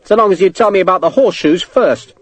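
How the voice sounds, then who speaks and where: A man's voice: sits high, fast, no echo, voice pushed up. A man playing a tough, impatient British army ensign in an audiobook, blunt and colloquial. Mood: blunt, exasperated. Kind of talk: audiobook character acting.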